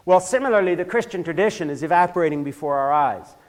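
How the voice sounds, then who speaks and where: An older man from Switzerland lectures in a church with a fairly high voice. He builds from measured remarks to heated, forceful emphasis and often trips over and restarts his phrases. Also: lively intonation. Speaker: speaker giving a church talk. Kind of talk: impassioned lecture.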